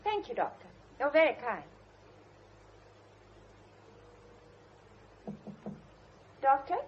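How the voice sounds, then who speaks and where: A woman's voice: high voice, sing-song, brisk. An actress playing a polite, anxious young woman with an upper-class English accent in a radio drama. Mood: weary, polite. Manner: well-mannered, emotional. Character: polite, anxious, well-bred young English granddaughter of the house. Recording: dull radio recording, noisy background.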